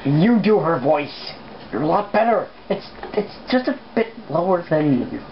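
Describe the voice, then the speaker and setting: Very low-voiced woman, muffled recording. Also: big pitch swings, measured pace. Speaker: reader. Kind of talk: amateur fan fiction narration with casual asides. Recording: muffled, noisy home recording.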